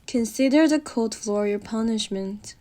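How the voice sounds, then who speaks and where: A woman plays a needy wolf girlfriend with a high voice and slow, drawn-out delivery. She is bossy yet affectionate, pouting and teasing in an intimate roleplay audio aimed right at the listener. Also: mellow, natural voice. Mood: smug, cuddly. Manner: pouty, pleading, teasing. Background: computer keyboard typing.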